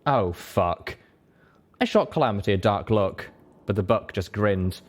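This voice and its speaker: A man's voice: fairly low pitch, animated, a little slow. A man reading scripted first-person story narration as an audiobook narrator.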